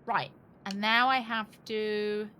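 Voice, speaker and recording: A woman's voice: mid-range voice, steady tone, slow, bright, forceful tone, a little hiss or hum. A woman chatting casually as she streams a game, thinking aloud with wry, joking asides. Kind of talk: casual live gaming commentary.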